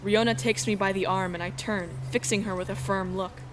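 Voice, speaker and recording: A woman's voice: medium pitch, steady tone, brisk, noisy background, natural voice. A woman playing an earnest exiled princess, giving first-person narration and dialogue in a game fan dub. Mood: suspenseful narration. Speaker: narrator and lead character.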